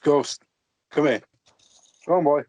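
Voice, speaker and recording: A man's voice: fairly high pitch, slow, a little mellow, dry recording. A man reading the part of a proud, guarded young nobleman's bastard son in an amateur fantasy table read.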